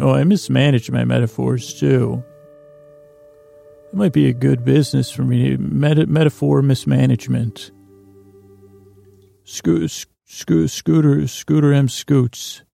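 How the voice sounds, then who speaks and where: A man hosting a sleep podcast in a lulling, soothing tone, meandering haltingly through a bedtime monologue with stammers and repeats. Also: mid-range voice, vocal fry, mellow. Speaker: podcast host. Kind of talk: rambling bedtime monologue to lull listeners to sleep.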